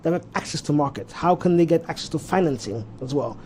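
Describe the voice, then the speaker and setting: A man's voice: a little high, expressive, fast talker, a little mellow, a little hiss or hum. A Ghanaian man with an Austrian-tinged English accent, a tech consultant explaining his field in a talk show interview.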